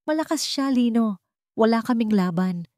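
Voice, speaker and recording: A woman's voice: fairly high pitch, expressive, moderate pace, mellow, no echo, clean studio sound, voice pushed up, natural voice. A woman playing an anguished, self-sacrificing mother in an audio drama, pleading in a tearful, faltering way. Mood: sobbing, hopeless. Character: anguished, pleading mother giving up for her children.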